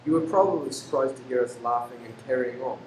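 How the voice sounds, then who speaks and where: A man acts a genial, slightly apologetic father in a radio play. He sounds distant and echoey, with clear noise around him. His phrasing is homely and deferential. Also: mid-range voice, quick pace, natural voice. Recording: distant, noisy, echoey room.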